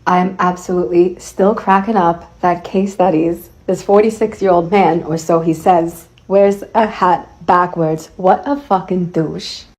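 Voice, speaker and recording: Mocking New York woman with a mellow voice. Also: fairly low pitch, fairly even intonation, unhurried, hardly any pauses. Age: 30s. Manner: mocking, profane. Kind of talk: casual online rant.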